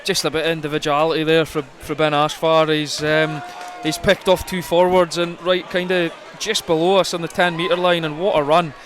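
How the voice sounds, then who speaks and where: A man's voice: a little high, flat delivery, moderate pace, few pauses, a bit bright. A man giving conversational live rugby analysis as co-commentator, piecing his thoughts together as he goes. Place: rugby stadium. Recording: crowd noise behind live outdoor commentary. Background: stadium crowd.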